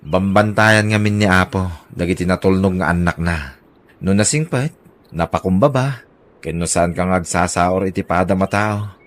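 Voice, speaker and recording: Male actor as devout, loving old Ilocano dad for radio drama; low pitch, humble delivery. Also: normal speed, lightly acted.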